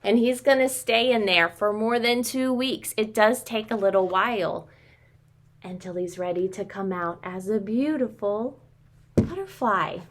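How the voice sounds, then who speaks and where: An American woman in her thirties, a preschool teacher reading and explaining to young children in an expressive way with questions and drawn-out words. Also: mid-range voice, slight background noise. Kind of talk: children's story read-aloud and craft instruction. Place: small home room with sloped ceiling.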